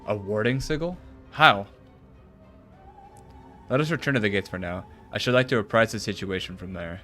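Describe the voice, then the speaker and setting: A man's voice: on the low side, quick pace, a bit bright, soft background music. A man streaming a video game, reading its formal cutscene dialogue aloud with some stumbles and casual reactions.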